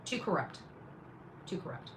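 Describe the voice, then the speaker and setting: Emphatic woman commentator with clear background noise. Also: moderate pace. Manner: emphatic, repeats phrases, sarcastic asides. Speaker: independent journalist and livestream host. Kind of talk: casual livestream commentary to viewers.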